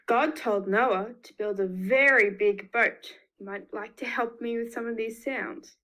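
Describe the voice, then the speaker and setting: Slow, higher-pitched Australian woman reading stories. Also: poor audio. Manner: expressive, emphatic repetition with sound effects. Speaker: children's storyteller. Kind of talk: picture-book read-aloud for young children.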